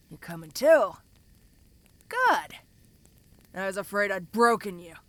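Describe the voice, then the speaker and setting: A woman's voice: on the low side, a bit bright. A woman voicing a tough, domineering giantess ogre in a fantasy audio roleplay, talking to the listener in a casual, teasing, condescending way.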